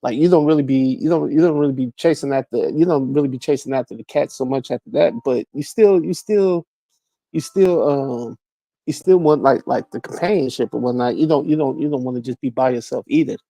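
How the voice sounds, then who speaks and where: A man in his mid-forties in a casual chat, halting and repeating words, full of "like". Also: medium pitch, dark tone, no echo. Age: 40s.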